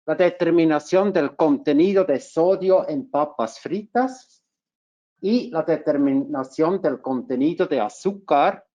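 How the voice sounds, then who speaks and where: Swiss German man in his second language, fairly high voice, careful technical webinar delivery, muffled lo-fi audio. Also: a little mellow. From Switzerland. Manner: careful, with frequent restarts and fillers. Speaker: market manager presenting a webinar.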